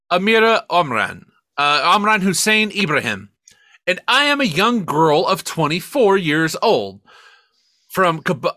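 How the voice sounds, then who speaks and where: A man's voice: high-pitched, bright, forceful tone, no echo, clean studio sound, exaggerated voice. A man voicing a florid spam-email writer with a mock-formal, overly earnest manner for a comedy podcast.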